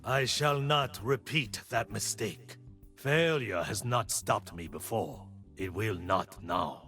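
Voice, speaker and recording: A man playing a solemn, disciplined warrior monk in a video game, speaking in a grave, measured way. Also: lowish voice, bright, forceful tone, soft background music. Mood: resolute. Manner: slow, grave, measured delivery. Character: solemn, disciplined male warrior monk, remorseful yet resolute. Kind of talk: video game character voice lines.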